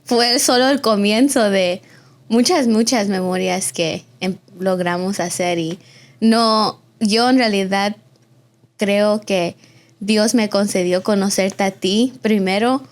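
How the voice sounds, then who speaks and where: Young woman from texas hosting a chatty faith podcast, effusive, mid-pitched voice. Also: takes it slowly. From Austin, Texas, of a Mexican family. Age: early 20s. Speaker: podcast host.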